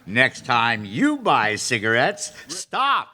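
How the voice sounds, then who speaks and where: A man's voice: medium pitch, huge pitch swings, slow. A man announcing in a dramatic, scripted 1950s radio style, emphatic and theatrical. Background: loud live music.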